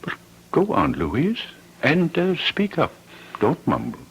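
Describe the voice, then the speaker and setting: An actor playing a fussy, stern village priest, husky and hesitant, stammering and admonishing in radio drama style. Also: male voice, on the high side, wildly swooping pitch, takes it slowly, exaggerated voice, poor audio. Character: fussy, stern village priest hearing confession, easily flustered. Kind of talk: old-time radio drama acting.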